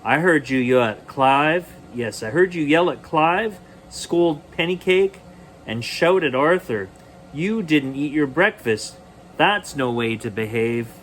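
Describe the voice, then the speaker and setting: A man's voice: on the high side, animated, slow, a bit bright. A man voicing a firm mother in a storybook, stern and reproving. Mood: scolding.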